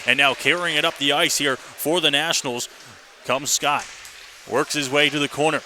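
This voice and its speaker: Male play-by-play announcer, very bright and driving delivery, live radio hockey call over faint arena noise. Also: on the high side, steady tone, moderate pace. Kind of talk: live radio sports commentary.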